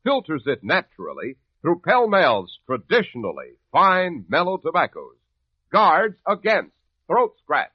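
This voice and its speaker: A man's voice: fairly high pitch, wildly swooping pitch, a little slow, husky, dry recording. A man reading a scripted 1950s radio advertisement as an announcer. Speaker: commercial announcer. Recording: dull lo-fi old radio recording.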